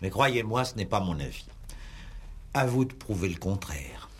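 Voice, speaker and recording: An actor playing a stern, impatient examining magistrate in a 1960s radio play, curt and condescending. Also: male voice, deep voice, very animated, normal speed, husky, exaggerated voice. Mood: stern, dismissive. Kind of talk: 1960s radio drama acting.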